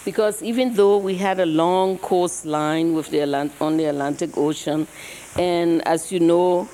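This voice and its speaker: An older woman from Liberia with a Liberian accent, hoarse from travel, giving a reflective public lecture into a podium mic. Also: on the low side, steady tone, dark tone. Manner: slow, reflective storytelling with pauses. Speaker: guest speaker. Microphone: handheld or podium mic.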